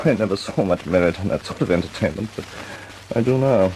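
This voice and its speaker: An actor playing an authoritative senior air force officer in an old radio drama, with a dry humor. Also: male voice, low voice, fairly quick, a little mellow, a little hiss or hum, lightly acted, rough recording. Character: senior RAF air vice marshal, older man. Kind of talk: 1940s radio acting.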